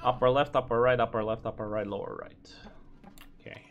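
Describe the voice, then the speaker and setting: A man's voice: mid-range voice, fairly even intonation, brisk, muffled recording. A Romanian man who sounds American, casually commentating his speedrun and chatting with viewers, easily exasperated. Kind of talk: casual live commentary over gameplay.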